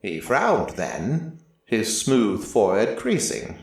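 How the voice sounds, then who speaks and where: A man narrating dramatic first-person fiction in a deliberate, theatrical storytelling manner. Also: on the low side, wildly swooping pitch, vocal fry. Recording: very echoey, distant from mic.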